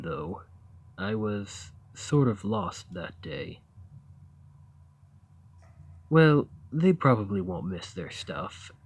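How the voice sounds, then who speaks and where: A man playing a friendly, naive, awkward giant who lives in a cave, in an ASMR roleplay, speaking in a hesitant, earnest, gently upbeat way. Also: lowish voice, slightly rough, exaggerated voice. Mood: sheepish. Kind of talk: ASMR roleplay, acted monologue to the listener.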